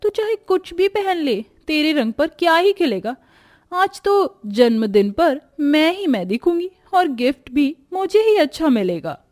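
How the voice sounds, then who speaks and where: Cartoon role for a woman: conceited young sister, very high voice, dry sound, scornful and whiny. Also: big pitch swings, a little slow, darkish tone, no echo. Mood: gloating. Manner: taunting, boastful, whiny. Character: vain, haughty young woman mocking her sister.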